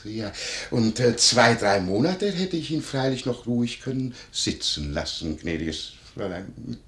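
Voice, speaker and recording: An actor playing a fussy, prying, obsequious innkeeper in a radio play, pedantic and wheedlingly courteous. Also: male voice, mid-range voice, lively intonation, unhurried, lightly acted. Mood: gossipy, self-righteous. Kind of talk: 1950s German radio play acting. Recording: roomy 1950s radio studio recording.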